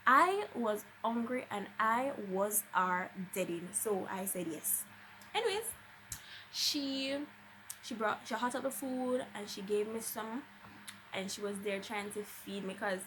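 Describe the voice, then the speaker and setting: A woman's voice: fairly high pitch, background noise. A young woman with a Jamaican accent tells a personal story casually and dramatically, slipping into Patois and acting out what people said. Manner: dramatic, acts out quoted dialogue. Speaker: vlogger telling a personal story.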